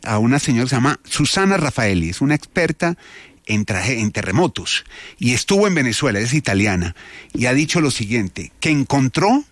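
Talk show host, dry sound. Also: male voice, medium pitch, sing-song, a little fast, a bit bright, dry recording. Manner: conversational, reads out comments.

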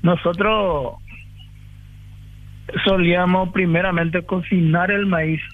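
Halting male caller on a tinny phone line. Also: high voice, steady tone, no echo. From Carapeguá, Paraguay. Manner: halting, repeats himself while explaining. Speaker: radio caller. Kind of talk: explaining a recipe on a phone call.

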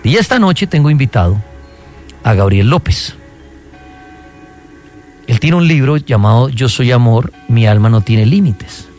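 A man's voice: mid-range voice, animated, unhurried, long pauses, a little mellow, faint music behind. A man hosting a conversational radio interview, speaking colloquially and often tossing in short questions.

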